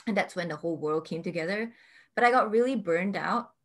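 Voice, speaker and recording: A woman in her forties from Malaysia who creates tarot decks, talking in a casual interview with frequent ums and easy laughs. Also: lowish voice. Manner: warm, chatty storytelling with frequent ums and quick laughs. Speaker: tarot deck creator and artist.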